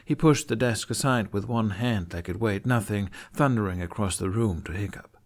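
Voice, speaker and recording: A man reading scripted fantasy fiction aloud as an expressive storyteller. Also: on the low side, normal speed. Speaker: podfic narrator.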